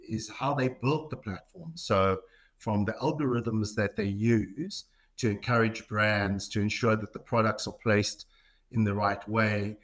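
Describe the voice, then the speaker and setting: A man's voice: lowish voice, a bit bright. A middle-aged man with a South African accent, answering questions in a conversational way as a podcast guest. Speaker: podcast guest, marketing executive.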